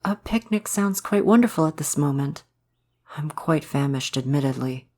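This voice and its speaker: Man playing a courtly, gentle male forest spirit. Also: on the high side, animated, moderate pace, lightly acted. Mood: warm, polite. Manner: formal, old-fashioned, polite phrasing. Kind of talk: ASMR roleplay, soft romantic audio drama.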